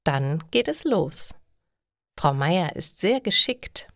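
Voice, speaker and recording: Slow-reading female storyteller, dry recording. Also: sits low, big pitch swings, a bit bright, no echo. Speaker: narrator of language-learning stories. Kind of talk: slow, clearly read scripted story narration.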